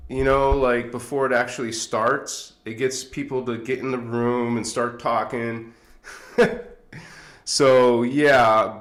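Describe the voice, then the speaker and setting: A man's voice: medium pitch, fairly bright tone, reverberant. A man hosting a casual livestream, chatty and rambling, with a stuffed-up nose, talking into a phone on a tripod. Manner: rambling, chatty, chuckles often. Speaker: livestream host.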